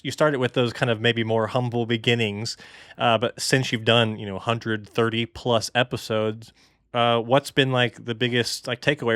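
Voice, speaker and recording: A man's voice: mid-range voice, no echo. Man hosting, dry close sound. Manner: relaxed, hesitant, many "uh" and "like" fillers. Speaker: podcast host. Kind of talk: casual interview chat.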